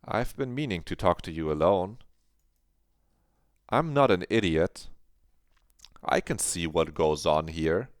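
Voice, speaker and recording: Guy doing a teacher lady voice, stern but soft, disappointed, clear tone. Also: deep voice, lively intonation, slow pace, pauses often, dry recording, clean studio sound. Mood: probing. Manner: disappointed, probing, scolding. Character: stern but caring middle-aged female teacher.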